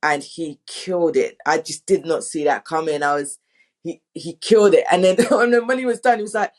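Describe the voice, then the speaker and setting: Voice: mid-range voice, moderate pace. An interview guest talking in an effusive, informal, casual way about production work. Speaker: audio drama production guest.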